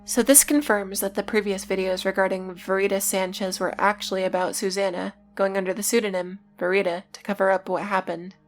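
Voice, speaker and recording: A woman reads a scripted video essay in an informal way, with casual asides. Her voice sits at a middle pitch. Soft music plays faintly underneath. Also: level tone, fairly quick, hardly any pauses. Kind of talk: scripted narration summarizing a horror web series, casual asides.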